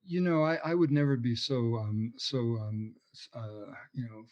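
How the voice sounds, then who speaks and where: A man's voice: medium pitch, expressive, normal speed, a little mellow, muffled recording. A man, an author and anthropologist, answering interview questions in a passionate, discursive way, often restarting his sentences.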